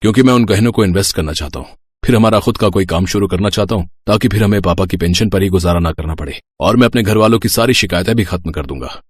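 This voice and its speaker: A man voicing a scheming gambler husband in a story, persuasive and hurried. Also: low-pitched, husky, no room sound. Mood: coaxing.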